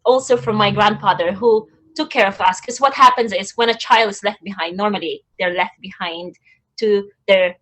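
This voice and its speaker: Soft-spoken woman on a video call. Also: medium pitch, moderate pace, low-quality recording. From Philippines. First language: Tagalog. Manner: soft-spoken, searching, with frequent ums. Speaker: guest poet. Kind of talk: interview answers.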